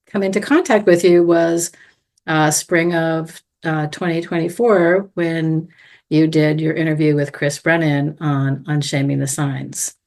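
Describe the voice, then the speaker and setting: A woman with a notably deep voice talks very slowly, wandering through her thoughts and circling back to find the right words. She is a podcast guest on a remote call, in a relaxed conversational manner. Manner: meandering, thoughtful, searching for words. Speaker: guest, astrology student.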